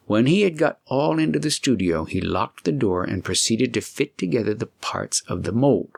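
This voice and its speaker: A man narrates a storybook with deliberate expression. His voice sits on the low side and carries a clear gravelly creak. The delivery is that of a scripted audiobook reading. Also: no room sound, studio quality.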